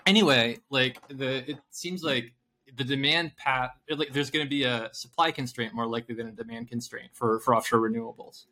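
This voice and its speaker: A man with a bright, forceful voice co-hosting a casual investing chat. He rambles through his points, restarts often, and laughs a lot. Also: mid-range voice, steady tone, a little fast. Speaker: podcast co-host.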